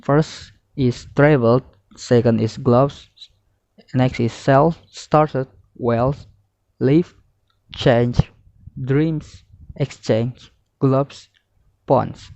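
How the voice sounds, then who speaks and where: Young male student presenter, halting lesson read, soft-edged tone, muffled lo-fi sound. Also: mid-range voice, steady tone, no echo. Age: young adult. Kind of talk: scripted lesson narration, reading slides. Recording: muffled, dull lo-fi audio.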